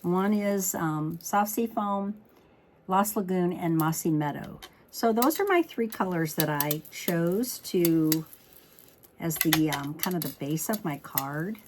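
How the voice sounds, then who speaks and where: A woman's voice: fairly low pitch, animated, slow pace, darkish tone, a little hiss or hum. A woman with a slightly froggy voice hosting a craft tutorial, chatting informally and thinking aloud as she works. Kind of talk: chatty card-making demonstration.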